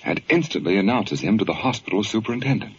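A man's voice: on the low side, lively intonation, quick pace, a little hiss or hum. A man with an American accent narrating in the dramatic style of mid-century radio, as announcer and storyteller. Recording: muffled vintage radio broadcast with music.

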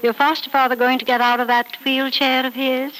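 A woman's voice: high-pitched, level tone, brisk, a bit bright, a little hiss or hum, rough recording. An actress playing a frank, doting, wealthy elderly mother in an old radio soap, speaking with emphatic, theatrical affection. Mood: affectionate. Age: older. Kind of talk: 1940s radio soap opera acting. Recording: old radio drama recording.

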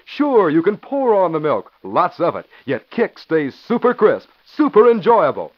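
A man's voice: sits high, wildly swooping pitch, measured pace. A man announcing and narrating a 1940s radio show, emphatic and punchy in delivery. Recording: dull lo-fi old radio recording.

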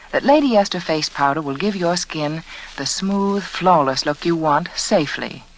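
A woman reading a scripted 1940s radio advertisement as hostess, earnest, polished and persuasive. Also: on the low side. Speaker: radio hostess and sponsor spokeswoman. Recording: noisy vintage 1940s radio recording.

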